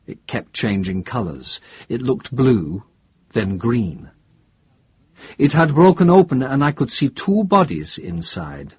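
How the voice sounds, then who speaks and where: A man voicing an older, guarded male chemistry professor in an audiobook, with a halting, deliberate, emphatic delivery. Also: medium pitch, big pitch swings, husky, dull audio, full character voice. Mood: awed, halting. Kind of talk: audiobook character dialogue.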